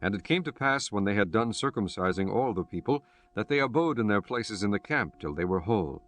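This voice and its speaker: A man narrating scripture for an audiobook in a solemn, measured and formal reading style. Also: lowish voice, lively intonation, fairly bright tone.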